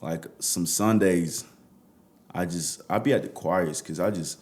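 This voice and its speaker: Deep-voiced Nigerian man, laid-back. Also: a little slow, darkish tone. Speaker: musician guest. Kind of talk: casual interview.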